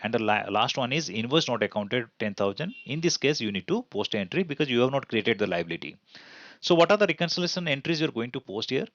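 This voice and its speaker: A man's voice: medium pitch, a bit bright, dry recording, highs cut off. A man with an Indian accent giving an explanatory accounting tutorial in a didactic way, asking and answering his own questions. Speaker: accounting instructor. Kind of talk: explanatory tutorial over slides.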